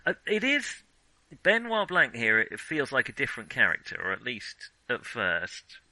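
A man co-hosting a casual film discussion, with a hesitant, halting way of talking that often restarts phrases. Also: mid-range voice, wildly swooping pitch, sharp tone, dry recording, low-quality recording. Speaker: film podcast co-host.

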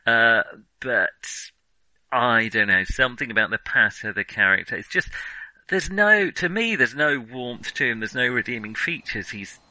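A man's voice: medium pitch, animated, moderate pace, bright, forceful tone, no echo, rough recording. A man co-hosting a film discussion podcast, speaking casually and opinionatedly, often stopping to rethink and correct himself. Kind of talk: casual film criticism chat.

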